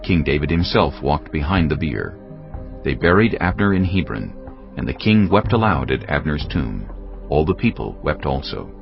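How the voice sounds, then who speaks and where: A man narrating scripture as a dramatic story, measured and solemn. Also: rumbling low, very animated, creaky. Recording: slightly dull sound, music underneath. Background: background music.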